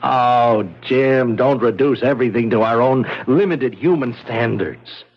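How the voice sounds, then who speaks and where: An actor playing a troubled, obsessed scientist with grim resolve and a weary, earnest manner in a 1970s radio drama. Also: male voice, mid-range voice, a little slow. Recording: dull, noisy 1970s radio recording.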